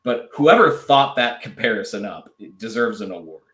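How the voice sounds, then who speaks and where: A man with a fairly low voice, a guest chatting casually on a podcast, rambling with many fillers and restarts. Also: expressive, moderate pace, fairly bright tone, roomy sound. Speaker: podcast guest, draft analyst. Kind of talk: casual chat.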